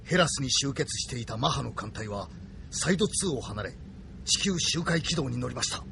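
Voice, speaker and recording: A man's voice: medium pitch, expressive, moderate pace, vocal fry, bright, forceful tone, slight background noise. A man playing a formal, dutiful military communications officer reporting in a Japanese audio drama. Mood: dutiful, urgent.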